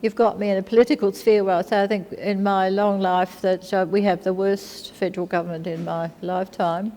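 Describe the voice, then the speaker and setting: A woman's voice: medium pitch, flat delivery, a little fast, dark tone, in a large hall, a little hiss or hum. A woman in her seventies with an Australian accent, speaking frankly in a documentary interview. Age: 70s.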